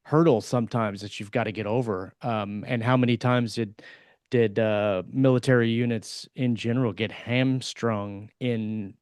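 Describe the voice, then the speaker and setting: A man's voice: medium pitch, steady tone, measured pace, a little mellow, smooth, dry recording. A man co-hosting a podcast in casual discussion, often checking that the listener agrees. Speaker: podcast co-host.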